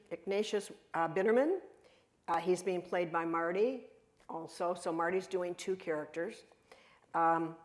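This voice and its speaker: An older woman serves as narrator for a play reading. Her voice is a little low, and she reads the script out plainly, with occasional hesitations.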